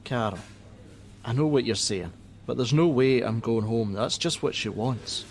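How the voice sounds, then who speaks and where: A man acting a gruff, jaded helpline worker. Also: mid-range voice, a little slow, a little hiss or hum, natural voice. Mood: curt, defensive. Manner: blunt, sardonic, defensive. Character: jaded, gruff, hot-tempered male crisis-line worker and father. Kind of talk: naturalistic radio play acting.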